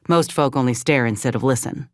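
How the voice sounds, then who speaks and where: An actor, a woman, playing an earnest, steadfast ex-soldier in a space frontier video game, speaking in a plainspoken, measured drawl. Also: extremely low pitch, no room sound, studio quality. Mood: wistful, reserved. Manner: folksy, plainspoken, measured delivery. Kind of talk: video game character dialogue.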